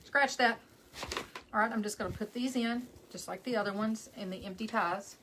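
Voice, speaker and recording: A woman's voice: a little high, moderate pace, a bit bright, slight background noise, poor audio. A woman with a West Texas accent hosting a live crafting stream from home, chatting casually and greeting viewers by name as she works. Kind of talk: casual chat while crafting.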